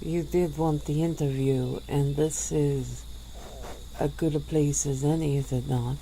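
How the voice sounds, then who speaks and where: A woman voicing a centuries-old female vampire who speaks slowly and softly, with breathy little laughs, in an ASMR roleplay. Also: deep voice, steady tone, slight background noise, low-quality recording. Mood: teasing, languid. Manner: slow, soft-spoken, with breathy little laughs.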